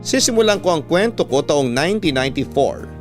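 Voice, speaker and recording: A man hosting a radio show, reading a letter as scripted storytelling with measured, dramatic phrasing. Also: a little high, lively intonation, soft background music.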